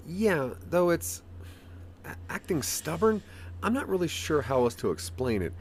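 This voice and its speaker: A man's voice: a little high, big pitch swings, unhurried, a little hiss or hum, pitched above the performer's own voice. A man acting a frustrated, hesitant tech tinkerer in an audio drama. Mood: awkward, hesitant. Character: frustrated male tech tinkerer arguing with his gadget.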